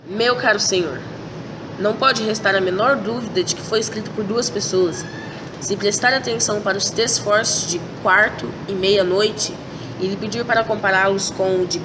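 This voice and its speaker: A woman playing a sharp, commanding male detective in an amateur school radio drama, laying out his deductions methodically. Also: mid-range voice, moderate pace, close to an everyday voice. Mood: explaining. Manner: lecturing, methodical explanation. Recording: distant mic with loud noise.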